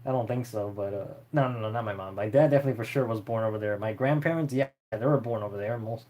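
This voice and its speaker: A man's voice: fairly low pitch, fast, a little mellow. A man chatting casually and unscripted as a streamer answering viewer questions, in a rambling, hesitant way.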